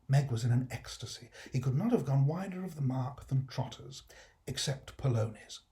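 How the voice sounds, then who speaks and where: Male storybook narrator, British accent, soft-edged mellow voice, expressive actor's delivery of scripted prose. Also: medium pitch, moderate pace. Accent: British English.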